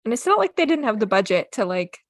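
A woman's voice: on the high side, sing-song, quick pace, no room sound, clean studio sound. A woman co-hosting a podcast, talking casually as she discusses a book. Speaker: podcast co-host.

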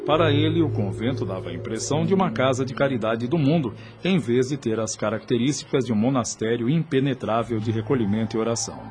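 A man's voice: medium pitch, quick pace, few pauses. A man with a Brazilian accent reading scripted radio drama narration in a solemn, literary manner. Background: music.

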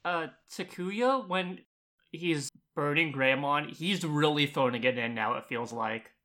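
A man's voice: a little high, unhurried, sharp tone. A man co-hosting a podcast in casual chat, somewhat tentative and given to joking. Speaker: podcast co-host.

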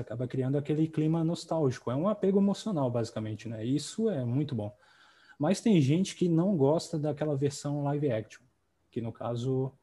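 A man hosting a podcast, talking in a relaxed, conversational way with frequent tag questions. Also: on the high side, slightly rough. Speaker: podcast host. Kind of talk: casual podcast chat.

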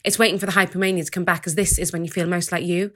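A woman's voice: fairly low pitch, fairly even intonation, very fast, fairly bright tone. A woman performing a spoken-word theatre monologue in a dramatic, rhythmic, list-like delivery. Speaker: writer-performer.

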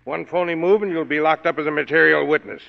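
An actor playing a gruff, sarcastic male police inspector in a 1940s radio drama. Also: on the high side, fairly even intonation, fast talker. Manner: sarcastic, blunt. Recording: dull old radio broadcast in a long-reverb hall.

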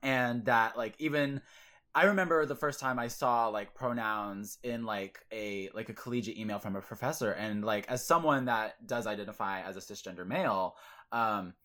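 A man's voice: lowish voice, moderate pace, pushed and bright. A man hosting a podcast, talking earnestly and casually with many fillers and restarts. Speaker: podcast host. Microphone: podcast mic.

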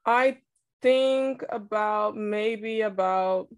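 A woman with a Dutch accent, whose first language is Dutch, talking as a guest in a casual interview, reflective and quick to laugh. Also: medium pitch, smooth. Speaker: podcast guest, entrepreneur.

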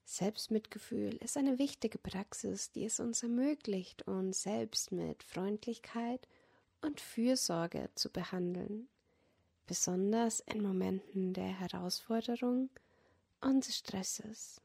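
A woman leading a guided yoga nidra relaxation as a meditation guide, speaking in a gentle, soothing manner that often drops close to a whisper. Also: medium pitch, takes it slowly, vocal fry, breathy edge. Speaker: meditation guide and podcast host.

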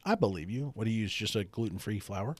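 A man's voice: on the low side, lively intonation, fast talker, no room sound. A man co-hosting a podcast, joking and playfully arguing with his co-host in casual banter. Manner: joking, teasing, playfully argumentative.

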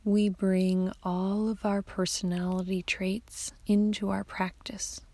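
A woman guiding a yoga nidra meditation in a soft, hushed, half-whispered voice, giving calm, soothing instructions. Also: mid-range voice, slow pace, dry recording. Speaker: meditation guide.

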